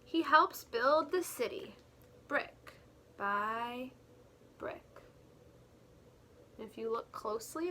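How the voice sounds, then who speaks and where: A woman teacher reading a picture book aloud to young children, expressively and deliberately, pausing to explain things in simple words. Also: on the high side, a bit bright, a little hiss or hum. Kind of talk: read-aloud storytelling with explanatory asides.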